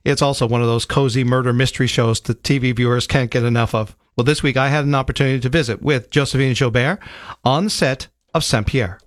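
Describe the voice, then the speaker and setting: A man's voice: mid-range voice, fairly even intonation, fast, dry recording, studio quality. Man hosting morning radio. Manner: friendly, conversational, some stumbles. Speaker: radio host.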